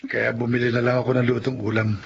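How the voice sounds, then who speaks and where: A man's voice: mid-range voice, monotone, fast talker, creaky, background noise, natural voice. An actor playing a caring, steadfast husband and worried father in a Filipino radio drama, earnest, with a Filipino accent. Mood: concerned. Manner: earnest, reassuring. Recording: dull lo-fi audio under loud music.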